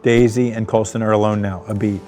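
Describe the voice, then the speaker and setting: A man's voice: lowish voice, flat delivery, fairly quick. A man reading out screenplay stage directions as scripted narration at a table read. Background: music.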